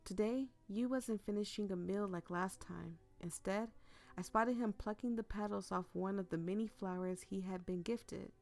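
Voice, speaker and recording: A woman's voice: medium pitch, moderate pace, creaky, a little mellow. A woman who is losing her voice to a cold, reading a visual novel aloud and chatting casually as she plays. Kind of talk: casual let's-play narration with read-aloud dialogue. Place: bedroom, propped up in bed.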